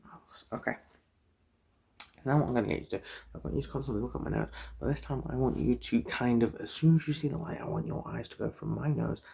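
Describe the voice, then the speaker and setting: A man speaking in a soft, breathy half-whisper with a husky, gravelly edge, gently reassuring and chatty as he plays an eye examiner in an ASMR roleplay. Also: mid-range voice, fast.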